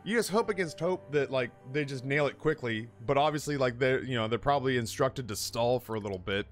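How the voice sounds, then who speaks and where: A man's voice: medium pitch, brisk, soft background music. A man in his thirties who streams, telling stories in a rambling, profane, self-mocking chat. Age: 30s. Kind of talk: casual storytelling chat.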